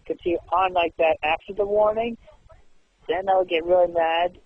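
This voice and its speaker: A man talking casually on the phone in a halting, repetitive way. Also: high-pitched, steady tone. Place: telephone call. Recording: tinny narrowband phone line.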